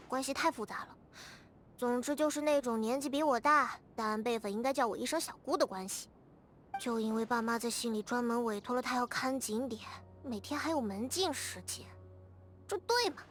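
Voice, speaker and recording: A woman's voice: sits high, a bit bright. A woman voicing a chatty, self-assured young girl adventurer in a video game. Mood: indignant. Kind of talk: scripted video game character dialogue.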